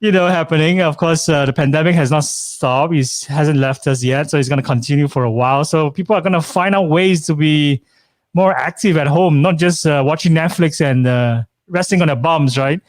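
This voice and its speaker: A man's voice: on the high side, normal speed, no room sound, studio quality. A man hosting a casual interview chat, talking in a chatty way with many fillers and asking questions. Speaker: event host.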